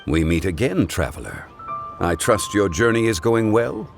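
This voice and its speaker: A man voicing a composed, refined consultant in a game, speaking in a measured, formal, eloquent way. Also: fairly low pitch, very animated, gravelly, soft background music. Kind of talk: game cutscene voice acting.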